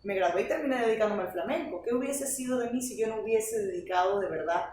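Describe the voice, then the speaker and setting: A young woman with a Venezuelan accent, a guest telling stories in a lively, chatty podcast interview. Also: mid-range voice, fast. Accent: Venezuelan Spanish. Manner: chatty, animated storytelling. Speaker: guest, flamenco teacher. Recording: roomy, distant mic, faint noise.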